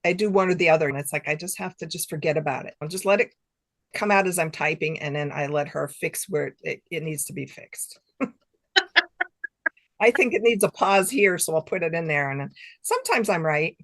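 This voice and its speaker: Low-pitched, self-deprecating woman chatting. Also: lively intonation, normal speed, fairly bright tone, no room sound. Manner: self-deprecating, chuckles between remarks. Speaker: guest author. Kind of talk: casual interview chat.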